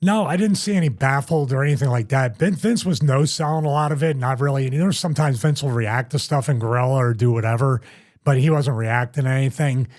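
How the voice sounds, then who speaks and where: A man's voice: mid-range voice, fast, darkish tone. A middle-aged man hosting a casual podcast, telling long rambling stories with restarts and repeats. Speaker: host, former wrestler.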